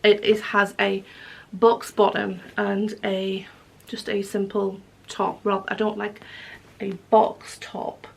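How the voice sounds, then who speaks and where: A woman vlogging about knitting and sewing at home, her voice hoarse and croaky from a cold, rambling with lots of ums and self-corrections. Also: medium pitch, monotone, slow pace. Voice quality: croaky, husky. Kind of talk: casual vlog chat. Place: home living room with wood stove.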